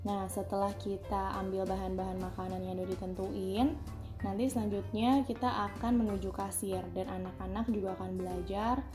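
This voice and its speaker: Woman narrating over clear music. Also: medium pitch, little pitch movement, normal speed. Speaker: family vlogger narrating. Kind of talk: casual vlog voice-over. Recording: music behind the voice. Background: clear background music.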